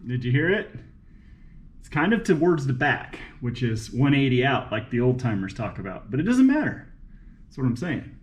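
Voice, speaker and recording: A man's voice: mid-range voice, sing-song, moderate pace. A man talking casually and unscripted in a folksy way as he works on a car, half muttering to himself and half explaining to the camera. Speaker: DIY car repair vlogger. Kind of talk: casual unscripted talk while working. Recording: echoey, noisy, clicking, uneven mic distance.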